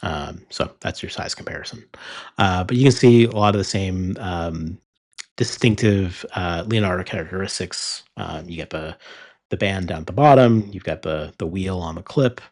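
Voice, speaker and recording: A man hosting his fountain pen channel, talking through a pen review in a relaxed way with many ums and uhs. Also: low voice, normal speed. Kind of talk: casual product review.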